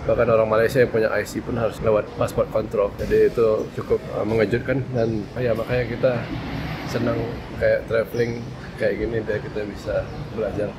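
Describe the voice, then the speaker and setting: A man's voice: fairly low pitch, little pitch movement, fairly quick, background noise. A man talking casually to the camera as a travel vlogger, explaining as he goes.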